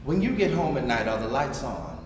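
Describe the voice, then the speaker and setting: Man as motherly, folksy hostess, animated, echoey hall. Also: medium pitch, a little fast, lightly acted. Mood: tender, counseling. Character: wise, motherly Miss Verna, basement-party hostess. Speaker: actor in a staged reading. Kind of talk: staged play reading. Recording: very echoey hall, noisy live stream.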